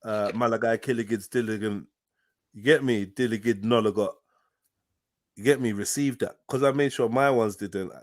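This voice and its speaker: A man's voice: on the low side, steady tone, moderate pace, no echo, clean studio sound. A middle-aged man hosting a live panel stream, slangy and rambling, laughing often. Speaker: host. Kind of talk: casual panel chat.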